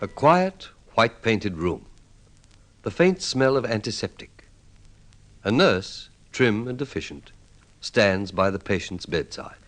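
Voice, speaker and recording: A man narrating an old-time radio drama, setting the scene in measured, clipped dramatic phrases. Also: lowish voice, big pitch swings.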